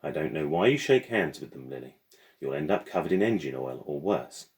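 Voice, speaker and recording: A man's voice: rumbling low, animated, fairly quick, a little mellow. A man voicing a haughty, strict French woman guardian in a storybook, imperious and disapproving. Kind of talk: storybook character voice.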